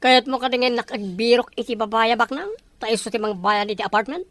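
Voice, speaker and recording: A woman's voice: on the high side, brisk, a bit bright, no room sound, lightly acted. A woman voicing a vain, money-hungry male lover with a cocky, wheedling manner in an audio drama. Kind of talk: Ilocano audio drama acting.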